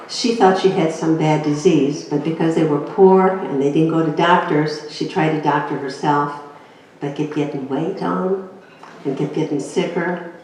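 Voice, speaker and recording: A woman's voice: deep voice, darkish tone, slight background noise. A woman from Greece, giving a chatty inspirational talk as a guest speaker on a handheld mic, telling her story with light humor. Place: church hall. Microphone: handheld microphone. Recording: echoey hall, picked up from a distance.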